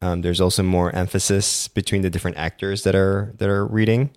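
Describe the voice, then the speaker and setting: A young man with a low voice chats loosely on a podcast, dropping fillers and slipping into mock quotes. The sound is very dry and close, with no room around it. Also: fairly even intonation, brisk, a little mellow, clean tone, dry recording. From Philippines. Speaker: podcast co-host. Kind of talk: casual chat.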